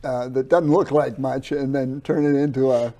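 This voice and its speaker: A man's voice: medium pitch, fairly even intonation, warm tone. Hesitant male interview host. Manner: halting questions with restarts, chuckles.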